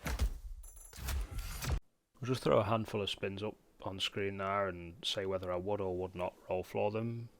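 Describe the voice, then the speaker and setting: A man's voice: deep voice, fairly even intonation, a little slow, pauses often. A man giving casual, matter-of-fact commentary for a video game guide in an informal way. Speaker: gamer presenting a guide.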